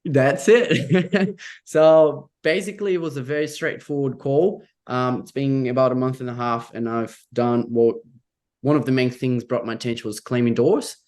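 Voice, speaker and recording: A man's voice: medium pitch, steady tone, darkish tone. Casting: young Brazilian man, English as second language, eager, chatty agent with ums. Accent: Brazilian Portuguese. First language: Portuguese. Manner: eager, lots of fillers, quick bursts. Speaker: real estate agent. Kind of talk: interview guest, role-played sales call.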